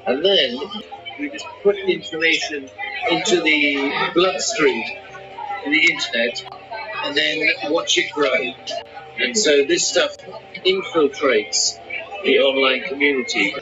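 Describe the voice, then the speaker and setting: A man's voice: fairly high pitch, takes it slowly, pauses often. A man explaining things as an interviewee. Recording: dull TV audio under loud music.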